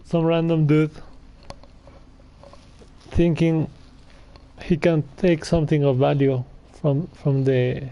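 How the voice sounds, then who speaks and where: Slow, muffled, mellow male gamer. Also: on the high side, flat delivery. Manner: halting, hesitant. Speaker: gaming streamer. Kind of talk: casual gameplay commentary. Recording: muffled microphone with faint background noise.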